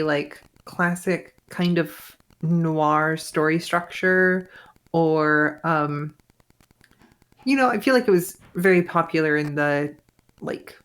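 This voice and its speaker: A woman chatting casually and analytically, halting as she works out her ideas aloud. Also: fairly low pitch.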